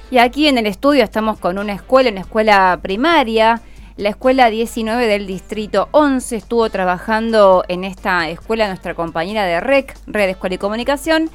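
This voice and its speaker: A woman with an Argentine accent hosts a conversational radio interview in a studio. She has a medium-pitched voice and an encouraging, checking way of asking questions, with faint music behind. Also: clear, resonant tone. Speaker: radio host interviewing schoolchildren. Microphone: studio microphone.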